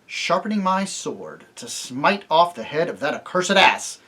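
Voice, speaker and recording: A reader voicing a stern young king who speaks loudly and formally. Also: mid-range voice, big pitch swings, slow, exaggerated voice. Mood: commanding. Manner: loud, forceful, formal.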